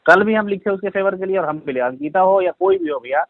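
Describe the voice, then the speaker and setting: A Bihari man with a fairly high voice explains himself on a dull, tinny phone recording. He is deferential and pleading, apologising and respectfully addressing the other person as an elder brother. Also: fast, no room sound. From Bihar, India. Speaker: caller being confronted over a social media comment.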